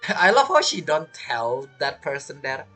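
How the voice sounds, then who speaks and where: A woman's voice: low voice, very animated, measured pace, fairly bright tone, faint music behind. A woman giving casual, amused and teasing commentary while playing a video game, often repeating words hesitantly. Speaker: gamer streamer.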